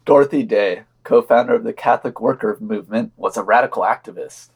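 A man's voice: mid-range voice, brisk, vocal fry, husky. A man reading a scripted podcast news digest with wry commentary and dry, sardonic asides.

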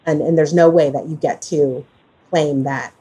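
A woman's voice: sits low, fairly even intonation, moderate pace, mellow. A woman hosting a casual conversational discussion, speaking emphatically and repeating phrases for stress. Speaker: podcast host.

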